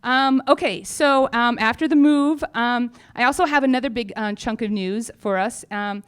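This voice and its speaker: A woman's voice: a little high, normal speed, clean tone. A woman company founder giving an informal update on stage, speaking in a frank, humorous, chatty way. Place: on stage at a live event.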